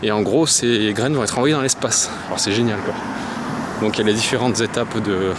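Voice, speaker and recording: A man's voice: fairly low pitch, a little fast. A young man from France chatting casually while walking through the city, with lots of fillers and slang. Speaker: vlogger. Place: city streets outdoors, walking. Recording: loud traffic noise outdoors.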